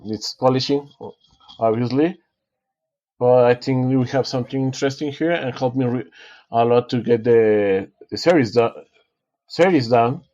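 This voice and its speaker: A man whose first language is Spanish, an author being interviewed, talking in a halting way with many fillers and restarts. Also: mid-range voice, dark tone, muffled recording. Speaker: author guest. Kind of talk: casual interview talk.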